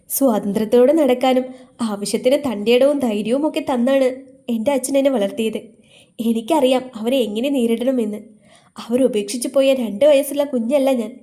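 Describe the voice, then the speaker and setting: A woman's voice: high-pitched, warm tone, pitched above the performer's own voice, close to an everyday voice. A woman voicing a loving, headstrong young adult daughter who talks in an earnest, emotional way.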